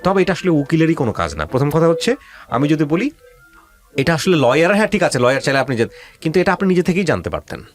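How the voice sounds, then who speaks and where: A man's voice: fairly high pitch, sing-song, quick pace, faint music behind. A male radio host with a Bangladeshi accent, advising a guest in an insistent, questioning way and dropping in English phrases. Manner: mixes English phrases into speech. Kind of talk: advising a guest on a life-story show.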